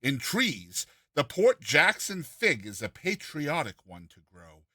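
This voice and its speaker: A man's voice: a little high, big pitch swings, takes it slowly, pushed and bright, no echo, studio quality. A man reading a classic humorous story aloud as a dramatized narration, with a theatrical, mock-earnest delivery. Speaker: reader and narrator of a short story.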